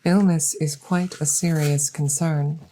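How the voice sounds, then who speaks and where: A woman voicing a curious, cautious alien scientist in a soft, hushed ASMR roleplay, speaking formally and deliberately and slipping into whispers. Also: low-pitched, steady tone, a little hiss or hum, low-quality recording. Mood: grave concern. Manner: measured, formal, deliberate phrasing.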